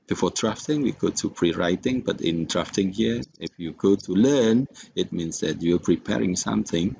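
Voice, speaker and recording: A man with an Indonesian accent giving an unscripted software tutorial in a halting, hesitant manner. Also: deep voice, vocal fry, highs cut off, a little hiss or hum. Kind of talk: unscripted screen-recorded tutorial.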